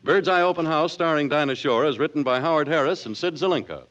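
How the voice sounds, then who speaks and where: Higher-voiced man announcing 1940s radio. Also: fast talker, dull audio, loud music behind the voice. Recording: old radio recording, faint noise, audience.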